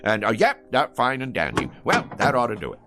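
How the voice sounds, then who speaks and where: Radio drama: folksy old American braggart at a filling station, lively and gravelly, rambling and flustered. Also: male voice, medium pitch, brisk, faint music behind, full character voice. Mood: folksy bragging. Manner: rambling, bragging, folksy, chuckling and fumbling for words. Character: boastful, folksy old man running a gas station.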